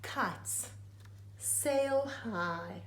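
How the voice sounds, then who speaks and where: A woman's voice: medium pitch, expressive, extremely slow, fairly bright tone. A woman reading a rhyming children's picture book aloud like a teacher, phrase by phrase with dramatic emphasis. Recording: echoey room.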